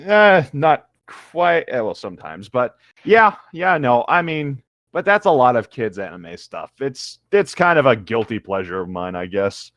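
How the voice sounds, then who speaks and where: A man's voice: medium pitch, very animated, measured pace, a bit bright, no room sound. A man co-hosting, chatting casually and reading a story aloud in a playful, theatrical, mocking way. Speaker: co-host.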